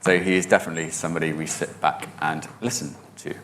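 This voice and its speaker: A man's voice: a bass voice, fairly even intonation, fast talker, a bit bright, slight background noise. A man hosting a conference session, introducing the next speaker with hesitant delivery and joking asides.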